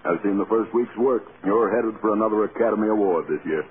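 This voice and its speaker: A man's voice: lowish voice, fast, narrow, tinny audio, close to an everyday voice. An actor playing a kindly studio boss in a vintage radio drama, fatherly and businesslike. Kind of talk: 1930s-40s radio drama acting. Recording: noisy, band-limited vintage radio.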